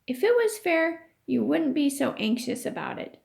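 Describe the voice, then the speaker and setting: Woman reading as an indignant, high-pitched young boy. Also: sing-song, moderate pace, vocal fry, a little mellow, full character voice. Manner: protesting, emphatic. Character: indignant, stubborn young boy arguing for fairness. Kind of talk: children's storybook read aloud.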